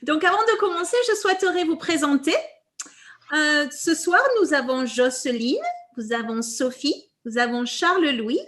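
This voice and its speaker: A middle-aged woman from Marseille hosting a podcast, upbeat and lively as she introduces her guests by name. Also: high-pitched, moderate pace. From Marseille, France. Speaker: podcast host. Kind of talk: hosting a group chat.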